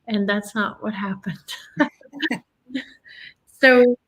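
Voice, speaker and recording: A woman's voice: mid-range voice, fairly even intonation, very slow, a bit bright, poor audio. A woman from Ireland, telling her life story in an earnest, reflective way as an interview guest. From Northern Ireland.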